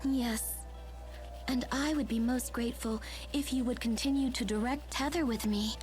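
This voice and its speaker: Woman as a haughty great spirit lady, slightly high voice, languid, lofty. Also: steady tone, moderate pace, darkish tone, soft background music, natural voice. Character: haughty, airy female great spirit, protective big sister. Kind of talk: dubbed game cutscene.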